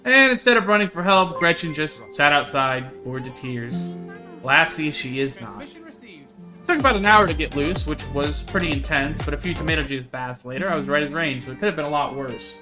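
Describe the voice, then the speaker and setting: A man's voice: fairly high pitch, expressive, moderate pace, music in the background, lightly acted. A man voicing a chatty, carefree young woman in a visual novel, in a breezy, rambling storytelling manner. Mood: breezy recounting. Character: chatty, carefree young woman who hikes hunting cryptids.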